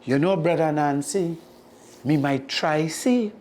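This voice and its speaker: A storyteller voicing a gullible, friendly male mongoose in excitable Jamaican patois. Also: mid-range voice, slow, a little hiss or hum, natural voice. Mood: tempted.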